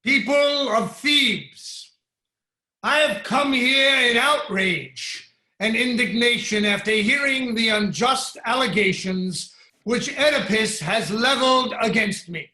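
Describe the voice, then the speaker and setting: An actor playing an indignant royal adviser in a dramatic reading over a video call, measured and aggrieved. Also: male voice, extremely high pitch, bright, forceful tone, heavily acted. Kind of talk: Zoom dramatic reading of a Greek tragedy. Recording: echoey room on a video call.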